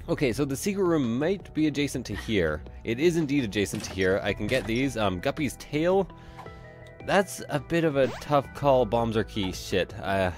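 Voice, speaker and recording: A congested young man giving casual, rambling let's-play commentary and cursing now and then. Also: medium pitch. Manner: rambling, chatty, swears casually. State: stuffy, congested. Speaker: gameplay commentator.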